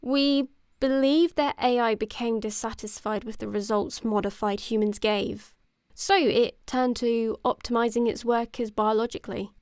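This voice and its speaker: An actress playing a composed, matter-of-fact woman, giving grim explanations in a measured way in an audio drama. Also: a little high, fairly even intonation, a little mellow, dry recording, studio quality, close to an everyday voice. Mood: matter-of-fact, grave. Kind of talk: scripted expository dialogue.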